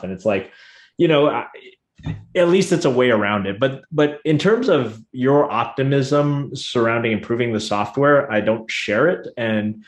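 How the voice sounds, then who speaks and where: Blunt man with a mid-pitched voice. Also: reverberant. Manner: blunt, swears casually. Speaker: podcast co-host. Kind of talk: casual, opinionated podcast discussion.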